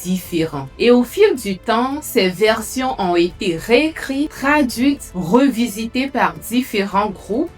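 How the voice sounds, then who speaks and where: A woman's voice: a little high, expressive, slow pace, few pauses, faint music behind. A woman hosting her own channel, talking directly to the viewer in a conversational way and often asking them questions. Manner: conversational, asks the listener questions. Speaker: host. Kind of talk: casual direct-to-camera talk.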